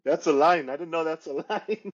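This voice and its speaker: A man's voice: a little high, moderate pace. A man hosting a casual interview, asking short questions and laughing easily. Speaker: host. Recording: dull, muffled sound.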